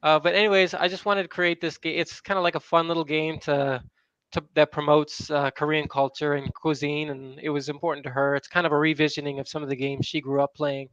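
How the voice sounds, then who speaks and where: A fairly high, smooth male voice in flat, even talk, pausing and restarting often as he speaks. The sound is dry and a little dull. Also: no echo. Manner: hesitant, many fillers and restarts. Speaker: guest, game developer. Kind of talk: casual chat.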